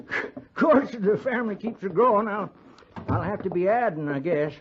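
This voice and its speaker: A boastful, rambling old frontiersman from a radio Western. His folksy American Western drawl rides high and swoops about in lively swings. The recording is a dull, old 1950s broadcast. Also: moderate pace, noisy background. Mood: folksy, hospitable. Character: spry, boastful old male frontiersman of seventy.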